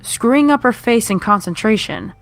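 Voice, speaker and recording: A woman's voice: on the high side, lively intonation, normal speed, soft background music. A woman narrator reading a scripted horror story.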